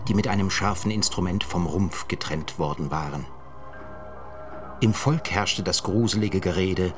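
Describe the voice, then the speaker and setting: A solemn, learned chronicler in a radio play. The voice is very low and the delivery measured and literary, with faint music underneath. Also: male voice. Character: grave, erudite male chronicler of a 1920s murder case. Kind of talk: scripted literary narration in a radio drama.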